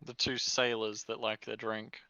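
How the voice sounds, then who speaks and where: A man's voice: on the low side, flat delivery, moderate pace, bright, forceful tone, dull audio. A man playing in a tabletop game, giving short, laid-back replies in casual banter. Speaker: player.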